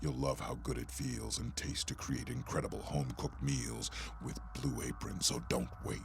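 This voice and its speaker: A man's voice: extremely low pitch, big pitch swings, moderate pace, gravelly, slight background noise. A man reading a scripted podcast sponsor message. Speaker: podcast ad reader.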